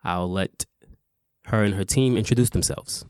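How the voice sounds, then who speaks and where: A man with a fairly low voice hosts a casual podcast, talking with upbeat energy and some fillers. His voice is recorded close and dry, with no room sound. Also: normal speed, a little mellow, smooth, no echo. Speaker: podcast host.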